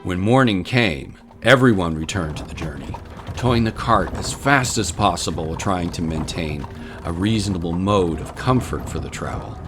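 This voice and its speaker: A man's voice: low-pitched, moderate pace, over music. A man delivering scripted, dramatic narration of a story.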